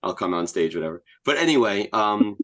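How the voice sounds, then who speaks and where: A man's voice: fairly low pitch, animated, a little fast, fairly bright tone. A man as a podcast guest, telling anecdotes casually and often interrupting himself with side notes.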